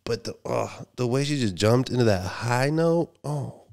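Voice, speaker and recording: A man's voice: medium pitch, animated, creaky, darkish tone. A man in his thirties with a stuffy, nasal voice, reacting with awe to a song in his home studio. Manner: awed exclamations between pauses. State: sick, nasal. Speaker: reaction video host. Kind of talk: music reaction commentary. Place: small home studio room, indoors. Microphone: studio mic on boom arm, headphones. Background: song playing.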